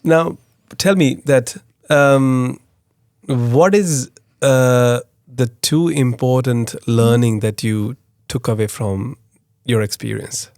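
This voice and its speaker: A podcast host leads the conversation with an encouraging manner, chiming in often with short agreements. He restarts his questions as he goes. His voice sits in a middle pitch and sounds dry and close. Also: slow pace, darkish tone, dry recording. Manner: encouraging, frequent backchannel "yeah", restarts. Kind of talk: interviewer chat.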